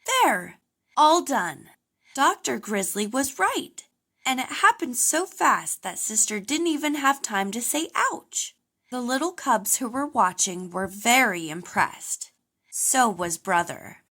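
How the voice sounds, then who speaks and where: A woman reading a children's storybook aloud in an expressive narrating style. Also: on the high side, unhurried. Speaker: storybook reader.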